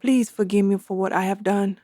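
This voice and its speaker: A woman's voice: medium pitch, steady tone, a little fast, warm tone, no room sound, close to an everyday voice. A woman voicing a guilt-ridden male king who stammers and pleads in an audio drama. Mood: pleading. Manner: hesitant, pleading.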